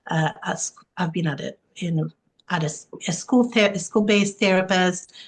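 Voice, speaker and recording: A non-binary therapist from Italy, with Italian as a first language, being interviewed as a guest expert. They speak warmly and earnestly over a video call, often listing things in long runs. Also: medium pitch, normal speed. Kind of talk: interview, self-introduction.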